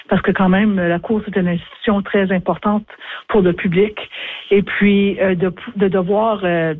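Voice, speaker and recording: A middle-aged woman from Northern Ontario with a Franco-Ontarian accent, giving spontaneous interview answers in a halting way, often repeating words. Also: medium pitch, dry recording, narrow, tinny audio. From Northern Ontario, Canada. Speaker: interview guest, former chief justice.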